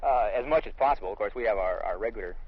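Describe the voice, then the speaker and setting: A man's voice: on the low side, racing. An American man, an army lieutenant, explaining things matter-of-factly in an interview outdoors in the field. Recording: dull lo-fi vintage field recording.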